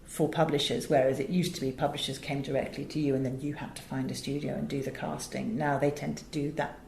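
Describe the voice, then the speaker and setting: A woman's voice: a bass voice, level tone, quick pace, creaky, a little mellow. A middle-aged woman with a British English accent answering interview questions conversationally, with emphatic storytelling and frequent asides. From England. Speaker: interview guest, audiobook director and producer. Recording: roomy echo, faint background noise.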